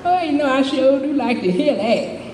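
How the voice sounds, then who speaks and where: Far-off echoey hall, woman acting as a chatty old homeless widow, high swoopy voice, folksy and rambling. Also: lively intonation, normal speed, darkish tone, a little hiss or hum. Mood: reflective, sorrowful. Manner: folksy, rambling, repeats "you know", chuckling. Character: chatty, good-humored older homeless widow, Miss Maylene. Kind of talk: dramatic monologue, storytelling to a live audience. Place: indoor stage performance, distant microphone.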